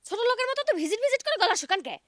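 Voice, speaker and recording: An actress playing a pushy, proud village sweetheart in a comic TV drama, sharp-tongued, with a rural Bangladeshi dialect. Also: female voice, extremely high pitch, very animated, rapid-fire, no echo, clean studio sound, full character voice. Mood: scornful.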